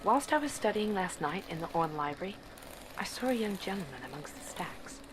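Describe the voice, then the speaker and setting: A woman's voice: mid-range voice, lively intonation, brisk, lightly acted. A woman playing an eager, naive young university student confiding earnestly in an audio drama monologue. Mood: intrigued. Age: young adult. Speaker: actor. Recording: clear background ambient noise.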